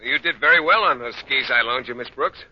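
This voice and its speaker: A man's voice: medium pitch, a little fast, slightly rough, close to an everyday voice. An actor playing a mild, earnest, oblivious male colleague with an American accent in an old-time radio comedy. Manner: earnest, polite. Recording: dull old radio broadcast, heavy noise.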